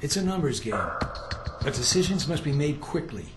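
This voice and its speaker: A man's voice: on the high side, lively intonation, normal speed, natural voice. A man playing a curt, condescending intelligence analyst in a video game, with dry, clipped, formal delivery. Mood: lecturing. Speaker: intelligence analyst and mission handler. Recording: roomy echo, loud game music behind.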